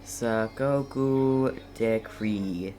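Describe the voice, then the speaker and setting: A woman's voice: a bass voice, extremely slow, warm tone, faint music behind. A woman streaming a game with casual commentary, muttering to herself and reacting briefly, with background noise.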